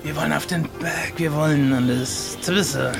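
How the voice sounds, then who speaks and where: A man's voice: a little high, fairly even intonation, normal speed, creaky, music in the background, pitched below the performer's own voice. A man playing a cheeky, macho pirate with a terse manner in an improvised adventure audio play. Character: gruff, cheeky, manly pirate after hot chilis.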